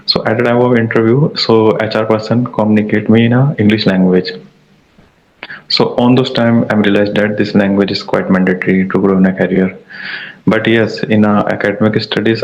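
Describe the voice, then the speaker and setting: A young man with a Hindi-speaking background and an Indian accent talks in English, low and gravelly. His delivery is halting and repetitive as he hunts for words, and his flat voice comes through dull, lo-fi audio. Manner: halting, repeats words, searches for phrasing. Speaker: English learner in a practice conversation. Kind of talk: casual practice conversation.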